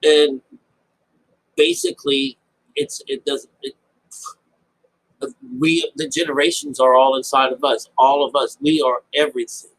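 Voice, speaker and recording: A man's voice: medium pitch, expressive, creaky, a bit bright, low-quality recording. Rambling man giving informal Bible commentary. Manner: rambling, halting, repeats phrases; colloquial, folksy, mild swearing. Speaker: amateur Bible teacher.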